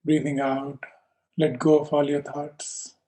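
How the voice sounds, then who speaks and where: Calm male meditation teacher, even pitch. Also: poor audio. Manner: calm, measured, short phrases with pauses. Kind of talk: slow guided meditation instruction.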